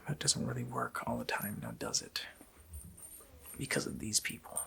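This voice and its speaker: A man voicing a sadistic, joking Christmas demon in a gravelly, whispered ASMR roleplay, with a slow, mockingly playful menace. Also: rumbling low, sing-song, bright, forceful tone, slight background noise, full character voice. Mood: sinister, scornful. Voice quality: gravelly whisper with creaky growl.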